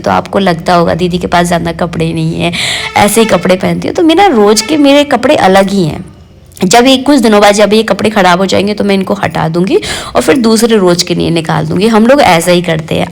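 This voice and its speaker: Hoarse, gravelly woman chatting at home. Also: mid-range voice. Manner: homely, chatty, fond of the filler "jo hai na". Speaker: home vlogger. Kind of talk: casual chatty vlog narration. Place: home kitchen, indoors.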